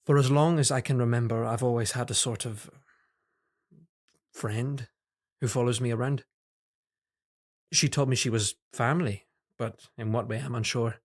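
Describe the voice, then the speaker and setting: A man's voice: mid-range voice, fairly even intonation, normal speed, long pauses, close to an everyday voice. An actor in an audio drama playing a guarded, earnest young man who speaks politely and hesitantly to his superior. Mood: relieved, confiding. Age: young adult.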